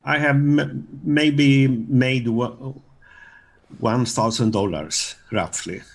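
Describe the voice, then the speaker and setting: Old swedish guy in his 70s, slow, halting, keeps pausing. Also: medium pitch, steady tone, creaky. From Sweden. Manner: slow, halting, searching for words. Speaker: course student.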